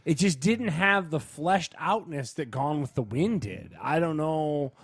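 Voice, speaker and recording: A man's voice: on the high side, expressive, unhurried, breathy edge, no echo. A man co-hosting a movie podcast in casual chat, slangy and opinionated, saying bluntly what he thinks. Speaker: podcast co-host reviewing movies.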